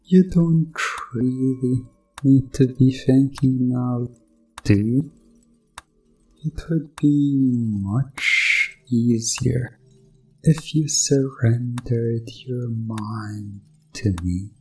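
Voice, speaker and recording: A man playing a sinister, condescending evil doctor in a hypnosis ASMR roleplay, coaxing with mock reassurance and deliberate pauses. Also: medium pitch, a little mellow, soft background music, poor audio.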